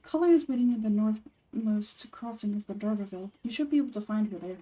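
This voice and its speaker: A woman voicing a composed, sardonic young male forest ranger and scholar in a video game, speaking in a measured, matter-of-fact way. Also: mid-range voice, dark tone, recorded from a distance, close to an everyday voice, poor audio. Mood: dry, measured.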